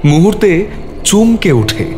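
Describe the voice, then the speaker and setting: A man's voice: sits high, big pitch swings, slow pace, over music. A man narrating a scripted horror story dramatically, in short, suspenseful phrases.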